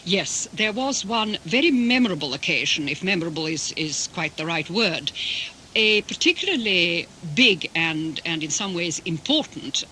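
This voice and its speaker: A woman's voice: fairly low pitch, very animated, pushed and bright, low-quality recording. Articulate older woman, faint hiss. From Britain. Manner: measured, articulate, with careful restarts. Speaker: interviewee, interpreter. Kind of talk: reflective radio interview storytelling.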